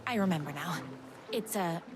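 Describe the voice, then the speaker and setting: A woman's voice: fairly low pitch, animated, moderate pace, music in the background, natural voice. A woman playing a feisty, lovestruck fan turned heroine in a game, acting and narrating with earnest, indignant melodrama. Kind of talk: hammy game acting with first-person story narration.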